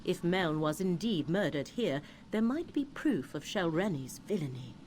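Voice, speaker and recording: A woman playing a solemn, cryptic seer in a video game, speaking in a measured, formal and earnest way. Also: fairly low pitch, sing-song, a little mellow, a little hiss or hum. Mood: resolute. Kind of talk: video game character acting.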